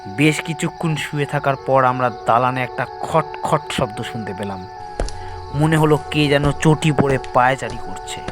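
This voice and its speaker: A man's voice: medium pitch, unhurried, over music. A man narrating a scripted first-person horror story in a dramatic, suspenseful way.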